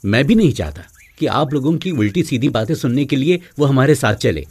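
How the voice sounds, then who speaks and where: A firm, protective young husband in a cartoon drama, acted by a man. His husky voice is mid-pitched with a mellow tone. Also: animated, fairly quick.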